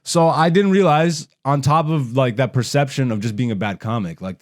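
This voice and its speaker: A man with an average-pitched voice hosts a casual comedy podcast. He rants bluntly in loose slang and swears freely as he goes. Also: a little mellow. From raised in Canada. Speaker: stand-up comedian and podcast host.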